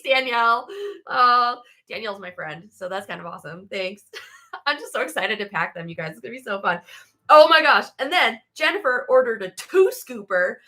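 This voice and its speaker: A woman with a cold and a stuffy, nasal voice, hosting a casual livestream in a bubbly, excitable way. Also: on the high side. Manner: bubbly, excitable, many fillers. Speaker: livestream host selling merch. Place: home studio.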